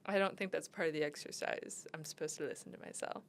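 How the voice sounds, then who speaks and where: A woman's voice: low voice, steady tone, fast, slight background noise. A young woman co-hosting a podcast in casual chat, giggly and self-deprecating. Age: young adult. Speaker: podcast co-host.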